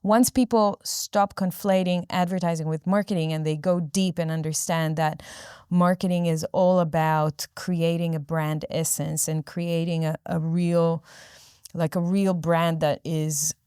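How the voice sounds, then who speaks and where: A woman whose first language is Hebrew talks as a guest in a podcast studio. Her voice sits somewhat low and sounds smooth and clear. The sound is dry and close, and she pauses to search for words and restarts her phrases. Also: level tone, a little mellow, no echo. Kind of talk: conversational interview. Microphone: studio microphone.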